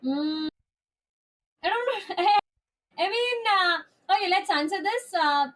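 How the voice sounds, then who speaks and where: High-voiced female esports caster. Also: animated, takes it slowly, a bit bright. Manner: chatty, lots of filler sounds. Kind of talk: live esports commentary.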